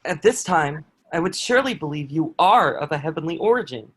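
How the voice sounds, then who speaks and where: A man acts out a medieval soldier with a notably high male voice. He is a proud, dutiful lackey who is quietly awed by his prisoner. His phrasing is earnest and formal, as in a historical stage play. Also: expressive, brisk, breathy edge. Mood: amazed. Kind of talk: table-read stage acting of historical drama.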